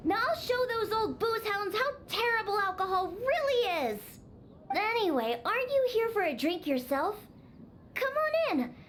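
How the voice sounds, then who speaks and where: A woman's voice: extremely high pitch, exaggerated voice. A woman voicing a bossy, proud young cat-girl bartender in a video game, sassy and scolding. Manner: sassy, boastful, matter-of-fact scolding.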